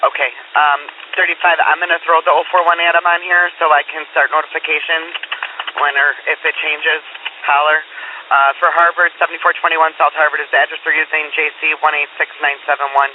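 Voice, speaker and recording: A man's voice: a little high, flat delivery, a little fast. Hissy police radio, clipped male dispatcher. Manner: clipped, procedural. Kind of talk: police radio dispatch, terse codes and repeated details. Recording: hissy phone-like police scanner radio, narrow band.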